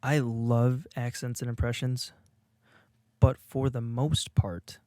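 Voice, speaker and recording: A man's voice: mid-range voice, level tone, takes it slowly, darkish tone, no echo. Rambling young man, dry close mic. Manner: rambling, self-mocking, many restarts. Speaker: podcast co-host. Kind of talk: casual comedic monologue.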